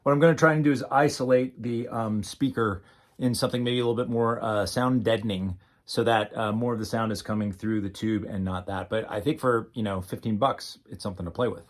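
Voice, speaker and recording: A man's voice: fairly low pitch, fairly even intonation, a little fast. A man talking casually and off the cuff as a hobbyist showing a homemade project, thinking aloud with hesitations and a wry, self-deprecating manner. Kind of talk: casual unscripted demo vlog.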